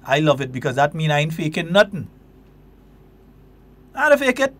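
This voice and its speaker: A man's voice: on the high side, big pitch swings, moderate pace, fairly bright tone. A Grenadian man hosting a call-in radio talk show, talking with passion and emphasis. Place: radio studio.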